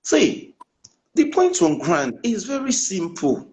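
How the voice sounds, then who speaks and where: A man's voice: very high voice, wildly swooping pitch, takes it slowly, darkish tone. A Nigerian man with a Nigerian accent, a politician guest in a heated panel debate, who talks insistently over the host.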